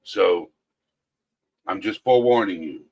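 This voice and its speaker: Man guest, direct and earnest, low-pitched, repeats key points. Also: measured pace, breathy edge, muffled recording. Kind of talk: casual chat and advice.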